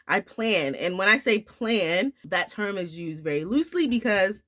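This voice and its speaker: A woman in her thirties talks casually to her viewers with a bright, forward tone. She sounds a bit nasal from a recent flu, and she rambles along with fillers and restarts. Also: on the low side. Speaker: homeschool vlogger. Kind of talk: casual talk to camera.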